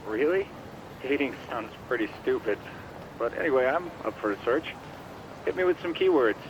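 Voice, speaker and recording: An actor playing a geeky, eager, chuckly computer-search expert in a video game, with quirky delivery. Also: male voice, mid-range voice, lively intonation, moderate pace, lo-fi sound, background noise, lightly acted. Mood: amused, curious. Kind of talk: video game cutscene dialogue.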